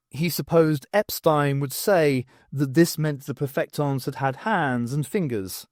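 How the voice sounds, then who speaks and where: A man reading a novel aloud as an expressive audiobook narrator, in an animated storytelling manner. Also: medium pitch, dry recording, studio quality. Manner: animated storytelling with acted dialogue.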